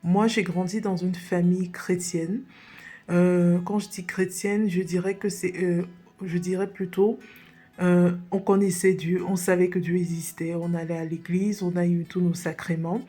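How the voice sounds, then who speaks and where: A woman's voice: lowish voice, level tone, measured pace, mellow, soft background music. A woman from Cameroon sharing a personal faith testimony as a podcast guest, earnest and hesitant, with frequent fillers and restarts.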